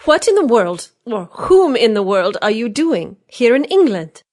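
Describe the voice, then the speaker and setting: A man's voice: high voice, normal speed, pitched above the performer's own voice, close to an everyday voice. A man reading an audiobook, voicing a witty, bored young noblewoman who speaks merrily and archly. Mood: arch, teasing. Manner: merry, arch, teasing.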